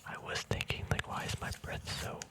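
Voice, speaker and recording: A man whispering casual ASMR to viewers in a breathy, unvoiced voice, rambling and thinking aloud without hurry, very close to the mic. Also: moderate pace. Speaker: ASMR host. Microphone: mic very close to the mouth and nose.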